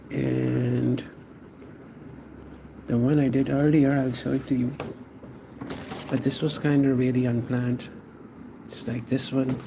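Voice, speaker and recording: A man's voice: medium pitch, gravelly, mellow, a little hiss or hum, rough recording. A man from Pakistan, chatting casually with viewers while he draws on a livestream, answering their comments in a laid-back way. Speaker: art livestreamer.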